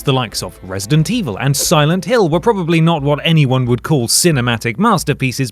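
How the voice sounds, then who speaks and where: A man presenting a scripted video essay, with wry, dry humour and emphatic stresses. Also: mid-range voice. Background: background music.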